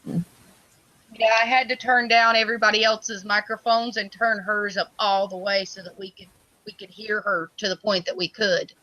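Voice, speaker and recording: A woman with a loud voice chatting casually on a computer microphone while streaming art work. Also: mid-range voice, level tone, normal speed, dull audio. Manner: loud voice, says so herself. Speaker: community project lead. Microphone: computer microphone on a voice chat.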